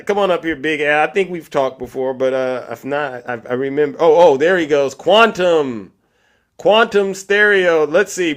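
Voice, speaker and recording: A man hosting a live call-in stream, talking in loud, hype-man banter that repeats itself. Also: a little high. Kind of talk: casual call-in chat.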